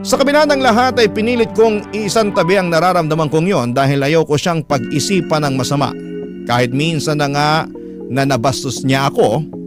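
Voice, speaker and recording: A man with a Filipino accent narrating a scripted first-person story in dramatic radio style. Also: on the high side. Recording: voice over loud music bed. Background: music.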